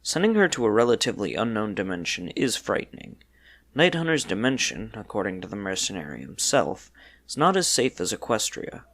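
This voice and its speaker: A man with a slightly low voice narrates a scripted tale. His pitch moves a great deal from phrase to phrase, giving the storybook narration a very animated shape. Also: brisk.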